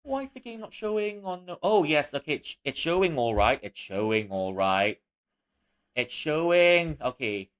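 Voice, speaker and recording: A man's voice: a little high, very animated, normal speed. Rambling young man, chatty streamer. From Malaysia. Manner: rambling, chatty, frequent restarts and soft laughs. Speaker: livestreamer. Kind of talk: casual livestream chat.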